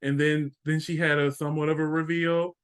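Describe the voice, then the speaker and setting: A man's voice: on the high side, little pitch movement, smooth. A sassy, playful man with a Texan accent, co-hosting a casual review chat. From Texas. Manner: sassy, playful, drawn-out emphasis. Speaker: co-host.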